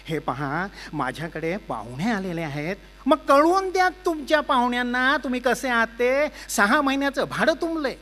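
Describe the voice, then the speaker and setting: A man voicing a landlord who loudly demands six months' back rent and threatens the tenant. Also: fairly high pitch, expressive, a little slow, few pauses. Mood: demanding. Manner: loud, threatening.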